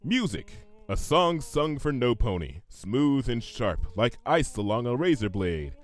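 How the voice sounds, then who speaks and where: A man reading a comic story aloud in an expressive, scripted narration style. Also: mid-range voice, unhurried. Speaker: narrator, fan fiction reader.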